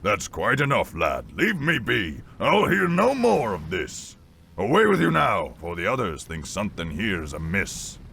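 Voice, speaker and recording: A weathered helmsman, voiced by a man, scoffs sternly. The delivery is dismissive and very animated, in nautical storybook manner. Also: fairly low pitch, measured pace, creaky, slight background noise, full character voice.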